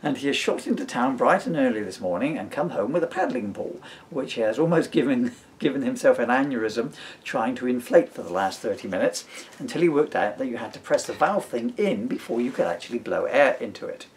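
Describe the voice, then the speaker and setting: A man's voice: mid-range voice, expressive, fairly quick. British man, rambling and playful, noisy recording. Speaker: father, author and stage performer. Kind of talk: casual chat and reading own comic book aloud. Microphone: camera mic.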